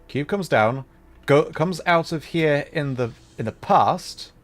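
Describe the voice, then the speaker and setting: A man's voice: a little high, animated, slow pace, soft background music. A man doing casual live gameplay commentary, thinking aloud and playfully repeating words as he goes. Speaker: VTuber game streamer.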